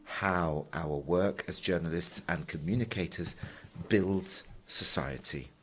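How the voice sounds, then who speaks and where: A man working as a simultaneous interpreter, relaying a panel talk in a measured way, pausing with fillers as he keeps up. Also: low-pitched, bright, forceful tone, breathy edge, poor audio. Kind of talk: live conference interpretation.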